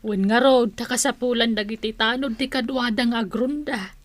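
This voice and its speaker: A woman's voice: on the high side, steady tone, normal speed, dry recording, close to an everyday voice. A woman playing a sympathetic, chatty village neighbor in a radio comedy drama. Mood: gossiping.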